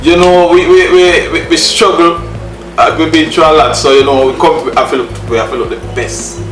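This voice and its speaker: A man's voice: on the high side, steady tone, moderate pace. A Jamaican-accented man talks easily as a guest, laughing often and circling back on his own phrases. He sits back from the mic in a roomy, echoing space with music playing behind him. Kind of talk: casual conversation. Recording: echoey room, distant mic, music.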